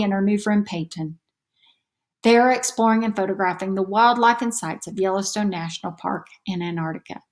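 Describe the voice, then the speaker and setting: Woman reading a children's storybook expressively. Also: mid-range voice. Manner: animated, deliberate storytelling for children. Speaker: storybook reader. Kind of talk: expressive children's read-aloud.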